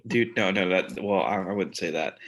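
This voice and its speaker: A man's voice: low voice, moderate pace, fairly bright tone. A man, co-hosting a casual podcast chat, rambling with many fillers and restarts. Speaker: podcast co-host.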